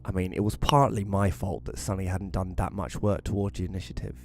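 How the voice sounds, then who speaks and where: A man's voice: low-pitched, fairly even intonation, a little fast. A man playing a casual, wry first-person narrator in a scripted audio drama. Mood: wry, matter-of-fact. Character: Rory, casual storyteller befriending a ghost.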